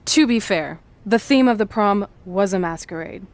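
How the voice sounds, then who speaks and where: A woman's voice: mid-range voice, animated, normal speed, natural voice. A woman playing a driven, no-nonsense young female wrestler in a video game cutscene, speaking earnestly and insistently. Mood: exasperated, dry.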